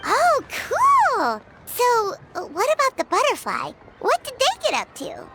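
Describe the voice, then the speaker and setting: A woman voicing a small, perky, childlike fairy girl in a video game, squeaky, curious and exclamatory. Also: wildly swooping pitch, measured pace, noisy background, exaggerated voice. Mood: eager, curious. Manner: perky, exclamatory, speaks of herself in third person. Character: small chirpy floating fairy companion girl, curious, ever hungry. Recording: music behind the voice. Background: music.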